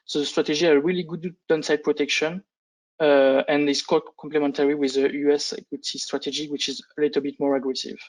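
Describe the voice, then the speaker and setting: A man with a slightly high voice and very flat intonation presents like a fund analyst on a conference call. He is a non-native English speaker, and his delivery is halting, often restarting phrases. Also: poor audio. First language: not English. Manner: halting, hesitant delivery with repeated false starts. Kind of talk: semi-scripted business presentation on a conference call.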